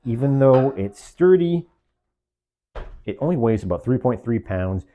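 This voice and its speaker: A man's voice: medium pitch, lively intonation, measured pace, narrow, tinny audio. A man giving a semi-scripted product review, explaining features step by step in short phrases.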